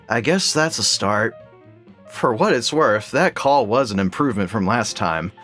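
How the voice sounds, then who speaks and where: A woman playing a patient, placating young male partner in an audio dramedy, measured and gently wry. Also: rumbling low, animated, faint music behind, exaggerated voice. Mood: gentle, coaxing. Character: patient, placating male partner, a psychology student named Todd.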